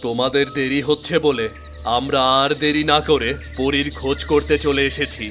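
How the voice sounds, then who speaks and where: A man's voice: mid-range voice, steady tone, normal speed, fairly bright tone, faint music behind, natural voice. A man voicing a humble, anxious woodcutter father in a cartoon fairy tale. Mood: grateful, apologetic. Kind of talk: animated fairy-tale dialogue.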